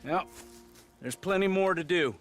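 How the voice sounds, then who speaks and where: A man's voice: high voice, a little slow, soft background music. An actor playing a skeptical but friendly ranch foreman in a Western game, folksy and probing. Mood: brisk, friendly. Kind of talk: naturalistic Western game acting.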